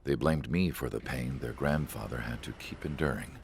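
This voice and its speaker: An actor playing a brooding military research scientist, narrating a game cutscene in a grave, clinical, reflective way with a gravelly voice. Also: male voice, very deep voice, normal speed, slight background noise. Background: loud music.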